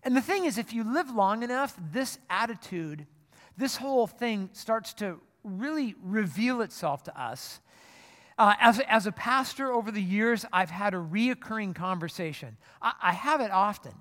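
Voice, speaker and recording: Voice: mid-range voice, animated, normal speed, fairly bright tone. A pastor giving an earnest, conversational sermon, often restarting words as the thought forms. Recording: long reverb of a large hall.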